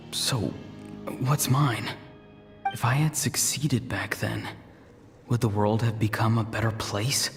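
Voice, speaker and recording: A man's voice: mid-range voice, close to an everyday voice. A man voicing an earnest, self-doubting young male apprentice in a video game, subdued and hesitant. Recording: long reverb, music behind.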